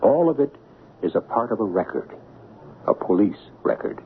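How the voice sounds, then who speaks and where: A man's voice: lowish voice, wildly swooping pitch, moderate pace, creaky, narrow, tinny audio, slight background noise. A man hosting a radio mystery with theatrical scripted narration, formal, wry and musing. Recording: band-limited old radio broadcast.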